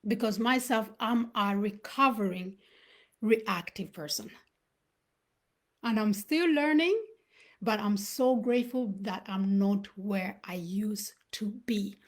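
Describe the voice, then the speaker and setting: A woman who was born in Uganda and raised in Sweden, hosting a live talk on self-awareness and telling personal stories in a reflective, unhurried way. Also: medium pitch. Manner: reflective, storytelling with pauses. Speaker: host.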